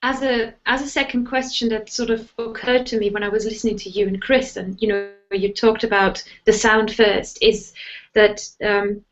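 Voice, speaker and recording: A woman's voice: medium pitch, level tone, normal speed, highs cut off. A woman with a German accent, a native German speaker, hosting a live interview and asking musing questions with many fillers. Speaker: host.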